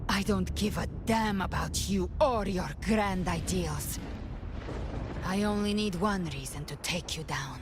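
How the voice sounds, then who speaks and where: A woman's voice: fairly low pitch, a little slow, over music, close to an everyday voice. An actress playing a proud, defiant young woman warrior in a video game, with cool, blunt, scornful delivery. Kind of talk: scripted video game cutscene acting.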